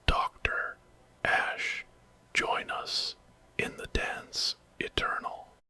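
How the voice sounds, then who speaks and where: Male narrator as luring demon-possessed woman in a horror tale, sinister, bright airy whisper. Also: very slow, no room sound, full character voice. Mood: eerie, luring. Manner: sinister, beckoning. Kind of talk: whispered ASMR horror story.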